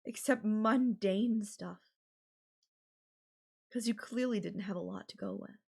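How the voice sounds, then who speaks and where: A woman playing an obsessive, possessive, murderous girlfriend in an ASMR audio roleplay, speaking deliberately with drawn-out phrases and pauses. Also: mid-range voice, gravelly, a little mellow, slightly rough. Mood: condescending.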